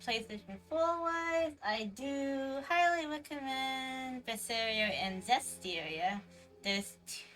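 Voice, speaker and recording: A woman livestreamer chats casually while gaming. Her voice is bright and medium-pitched, and she talks slowly and hesitantly, with faint music behind her. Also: poor audio. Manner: hesitant, trailing, chatty with viewers. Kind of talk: casual livestream chat during gameplay. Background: faint game music.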